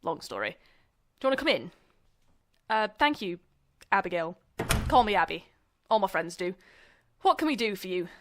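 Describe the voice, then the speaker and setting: A woman's voice: mid-range voice, sing-song, moderate pace, pauses often, close to an everyday voice. A young woman acting as a university student in a comedic audio drama. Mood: polite, businesslike. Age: young adult. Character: young female student journalists and theatre director sparring.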